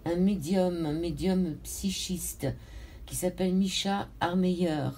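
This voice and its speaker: A woman hosting a radio interview, introducing her guest in a measured way and spelling out names. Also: fairly low pitch, steady tone, mellow, a little hiss or hum. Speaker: radio host.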